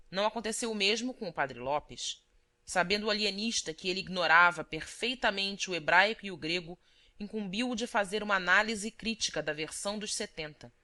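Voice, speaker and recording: A woman reading a literary audiobook as narrator, in a formal, expressive manner. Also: medium pitch.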